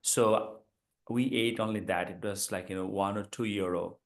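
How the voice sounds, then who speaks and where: Deep-voiced Bangladeshi man telling a story. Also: steady tone, moderate pace, creaky. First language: Bengali. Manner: earnest, rambling, fond of "you know". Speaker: guest, communication professor. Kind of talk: casual conversation, personal storytelling.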